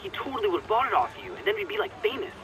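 An American actor voicing a slangy, excitable teenage best friend in a video game, casual and teasing. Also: male voice, sits high, rapid-fire, background noise.

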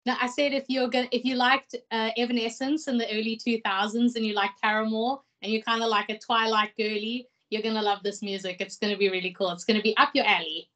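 A woman's voice: a little high, fairly even intonation, quick pace, hardly any pauses, a bit bright. A young woman from South Africa talks in an easy, enthusiastic way, full of casual fillers. She is on a video call from a small room and picked up by a laptop mic. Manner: chatty, enthusiastic, many "like" fillers. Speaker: singer guest.

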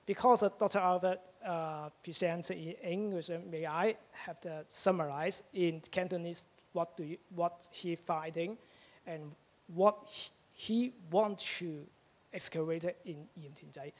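Halting high-voiced man introducing a talk. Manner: halting, many fillers. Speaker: society chairperson introducing the speaker. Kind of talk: formal introduction. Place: lecture theatre. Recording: reverberant hall.

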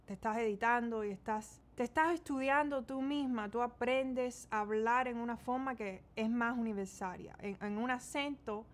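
A woman's voice: fairly high pitch, moderate pace, hardly any pauses. Chatty Cuban-American woman from Miami. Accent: Cuban-American Miami Spanish. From United States, Miami. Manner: chatty, mixes English words into Spanish. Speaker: beauty vlogger. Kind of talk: casual makeup tutorial chat. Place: small bedroom indoors.

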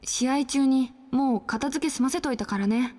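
A woman's voice: high voice, moderate pace, a little mellow, long reverb tail, slight background noise, lightly acted. An actress playing a casual young woman, a fighter's companion, in a video game cutscene.

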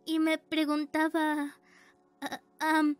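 High-pitched, earnest teenage girl, acted by a woman. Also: completely flat delivery, slow pace, faint music behind. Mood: hesitant. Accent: Latin American. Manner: hesitant, earnest. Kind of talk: fan dub of a comic.

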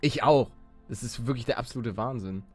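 A man's voice: medium pitch, a little fast. A man giving casual, slangy gameplay commentary, chatting and exclaiming as he plays. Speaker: let's player. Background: background music.

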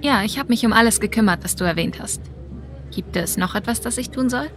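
A woman's voice: on the high side, fairly quick, a bit bright, soft background music, natural voice. A young woman playing a friendly, light-hearted bar worker in a video game, in natural acting. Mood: helpful.

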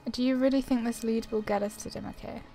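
Woman, fairly high voice, chatty, casual gaming commentary, game music clearly behind. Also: fairly even intonation, brisk, mellow. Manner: chatty, reads dialogue options aloud. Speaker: gaming video creator.

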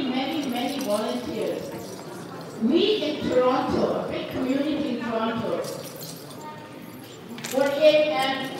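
Older woman, from Bangladesh, earnest public speech, high-pitched, distant recording, roomy hall reverb. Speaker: guest speaker at a community event. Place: large indoor atrium at a community event. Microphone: handheld mic, filmed from a distant phone. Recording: echoey hall from far off, crowd noise.

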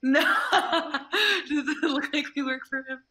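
A woman's voice: high voice, animated, slow, sharp tone. A woman in her twenties chatting with a stranger on a video call, amused and giggly. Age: 20s.

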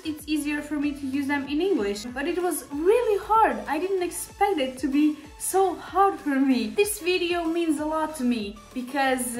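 A young woman chats through a makeup tutorial, her voice bright and high. She speaks to viewers casually, with plenty of fillers and restarts. The room rings with echo, and faint music sits behind her. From Serbia. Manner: chatty, many fillers, speaks to viewers directly. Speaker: beauty vlogger. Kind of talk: makeup tutorial talk to camera. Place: small indoor room with shelves.

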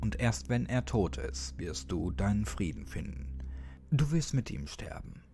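A man narrating a horror story as a dramatic audiobook, addressing the listener ominously with pauses and occasional near-whispers. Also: on the low side, sing-song, warm tone, slight background noise. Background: music.

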